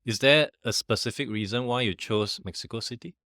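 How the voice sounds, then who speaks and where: A man's voice: medium pitch, a little fast, pushed and bright, no echo, clean studio sound. A man hosting a podcast, reading a scripted introduction and then asking questions with friendly curiosity. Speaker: podcast host.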